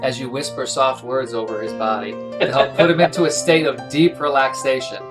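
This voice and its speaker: A man's voice: mid-range voice, steady tone, moderate pace, music in the background. A man running a tabletop roleplaying game as game master, improvising the narration.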